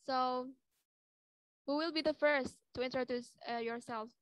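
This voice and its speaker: A woman with an Indonesian accent hosting an online class, speaking English slowly and carefully. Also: sits high, steady tone, clear, resonant tone, dry recording. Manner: slow, careful English with pauses. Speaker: host. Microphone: video call.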